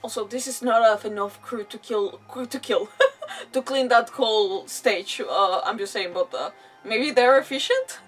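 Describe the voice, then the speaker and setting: Chatty, high-voiced woman game streamer. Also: unhurried, distant mic, poor audio. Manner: rambling, animated asides. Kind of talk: casual chatty livestream commentary. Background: video game music.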